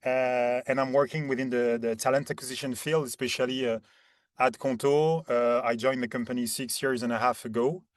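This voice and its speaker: A man's voice: mid-range voice, steady tone, a little fast, no room sound, clean studio sound. A man in his thirties from Paris with a French accent, explaining conversationally as an interview guest, often repeating words as he searches for phrasing. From Paris, France. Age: 30s. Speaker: interview guest, head of talent acquisition.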